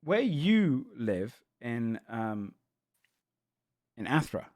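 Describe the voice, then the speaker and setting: A man's voice: mid-range voice, big pitch swings, extremely slow, a little mellow, dry recording, clean studio sound. A man narrating a tabletop game as game master, describing the scene in a searching, descriptive way.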